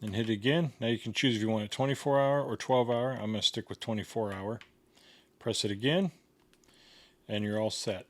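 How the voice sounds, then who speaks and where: A man's voice: fairly low pitch, fairly even intonation, fairly quick. A man giving a casual hands-on product tutorial in a plain, step-by-step instructional way. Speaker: product reviewer demonstrating a gadget.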